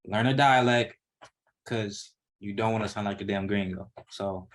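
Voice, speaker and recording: A man hosting a casual interview, relaxed and slangy, with short affirming replies. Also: fairly low pitch, moderate pace. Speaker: interview host.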